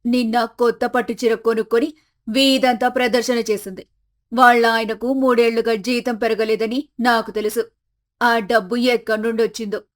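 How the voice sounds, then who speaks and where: A high-voiced, feisty older village matriarch played by a woman for an animated family story. She grumbles and declares her grievances with indignant self-importance. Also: normal speed, natural voice. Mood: grumbling. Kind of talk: animated moral story acting.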